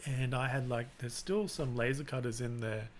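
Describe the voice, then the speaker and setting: A man hosting a live stream, chatting casually in a flat, rambling way and often restarting his sentences. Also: medium pitch, normal speed, slight background noise. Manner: self-described monotone, rambling with restarts. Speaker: live-stream host and content creator.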